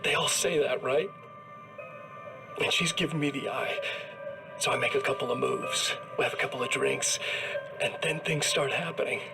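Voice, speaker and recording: An actor playing a smug, cruel evil double who boasts and gloats, in a video game cutscene. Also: male voice, on the high side, gravelly. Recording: game audio over loud music.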